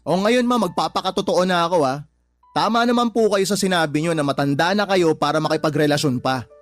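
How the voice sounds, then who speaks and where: A male actor plays an exasperated young Filipino son in a radio drama. His voice sits high for a man, with emphatic, pleading delivery. Soft music runs underneath. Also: a little fast, natural voice. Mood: blunt, heated. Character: concerned, exasperated adult son confronting his mother. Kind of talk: Tagalog radio drama acting.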